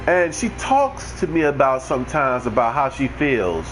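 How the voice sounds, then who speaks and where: Blunt, ranting man streamer, lively pitch. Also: moderate pace, faint music behind, low-quality recording. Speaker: livestreamer. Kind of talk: unscripted monologue.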